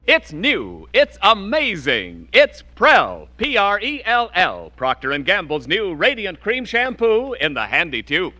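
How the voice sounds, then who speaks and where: A man's voice: high-pitched, huge pitch swings, measured pace, few pauses, pushed and bright, a little hiss or hum. A man working as a radio announcer, reading scripted narration in 1940s broadcast style.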